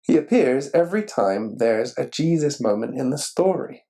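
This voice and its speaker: A man reading a children's picture book aloud expressively and deliberately, putting questions to the listener. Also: mid-range voice, expressive, creaky, mellow. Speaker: story reader.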